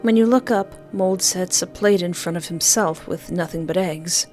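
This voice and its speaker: A woman's voice: lowish voice, moderate pace, a little mellow. A woman reading visual novel text aloud as a gamer, breaking in with playful, chatty asides and exclamations. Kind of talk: let's play: reading game text aloud with playful commentary. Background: game music.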